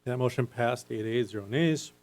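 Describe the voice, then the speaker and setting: A man of mid pitch runs a city council meeting from the chair. He reads motions, agenda items and vote tallies in a businesslike, procedural tone. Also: moderate pace, darkish tone.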